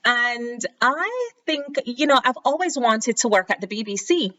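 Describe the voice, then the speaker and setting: A woman's voice: on the high side, unhurried, highs cut off. A young adult woman with a Jamaican accent, hosting a podcast and telling her own story in a chatty, casual way. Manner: chatty, animated when quoting others. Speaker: podcast host.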